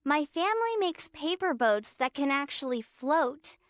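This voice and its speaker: A friendly young schoolboy in a storybook, read by a woman eagerly shares his idea. The voice sits high and is delivered slowly. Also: sing-song, dry recording.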